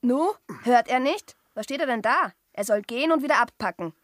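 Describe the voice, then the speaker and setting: A woman's voice: fairly high pitch, expressive, brisk, a bit bright, dry recording. An actress playing a pert, eager young baron's daughter in a radio play, girlish, impulsive and pleading. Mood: eager, bossy.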